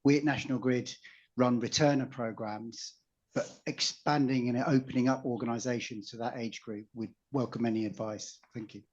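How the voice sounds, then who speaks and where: A man's voice: mid-range voice, fairly even intonation, moderate pace, darkish tone. Polite man asking a question. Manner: polite, formal. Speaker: audience member asking a question. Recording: slightly dull conference audio.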